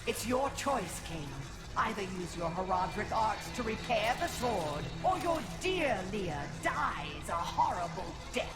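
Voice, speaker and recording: A woman's voice: mid-range voice, very animated, measured pace, few pauses. A woman voicing a cruel, gloating villainess in a video game, imperious and theatrical in her menace. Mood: gloating, threatening. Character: cruel, gloating villainess witch serving a dark master. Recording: echoey, under very loud music.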